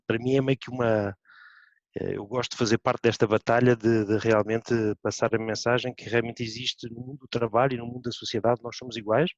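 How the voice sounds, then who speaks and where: A man's voice: medium pitch, no room sound, dull audio. Portuguese-accented man, hesitant. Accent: European Portuguese. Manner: hesitant, many fillers and restarts. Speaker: bank executive. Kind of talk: panel discussion. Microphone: video call.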